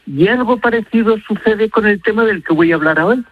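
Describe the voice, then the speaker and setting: A man's voice: high-pitched, a little fast, no room sound. Effusive Castilian man, dry muffled radio sound. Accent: Castilian Spanish. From Spain. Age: middle-aged. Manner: effusive, rambling, repeats words for emphasis. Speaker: radio travel and food contributor. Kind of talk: enthusiastic conversational radio talk.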